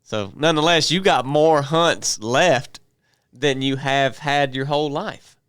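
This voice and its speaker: A man's voice: on the high side, a little slow, a bit bright, clean tone, no room sound, studio quality. A man co-hosting a podcast, ribbing a friend with wry remarks in casual chat. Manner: wry, teasing. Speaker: podcast co-host.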